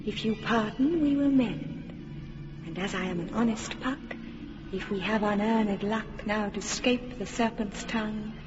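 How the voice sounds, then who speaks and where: A mischievous sprite voiced by a woman lingers slowly over each line, coaxing and playful. The recording sounds dull and hissy, with a long reverb tail. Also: mid-range voice, over music, natural voice. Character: mischievous sprite speaking the closing epilogue. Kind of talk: old radio verse drama. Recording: dull old radio recording in a reverberant space.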